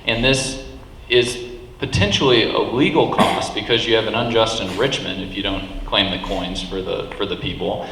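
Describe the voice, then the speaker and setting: A man's voice: fairly low pitch, normal speed, a bit bright, a little hiss or hum. A man speaking on a panel at a live meetup, talking emphatically with many restarts. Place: meetup venue hall. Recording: echoey hall with long reverb.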